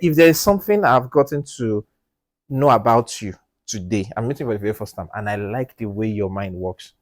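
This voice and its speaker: A man co-hosting a casual podcast discussion. He talks in an animated, emphatic way, keeps asking "do you understand?", and drops to a low, quiet voice when he presses a point. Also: a little fast. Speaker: podcast co-host. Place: podcast studio.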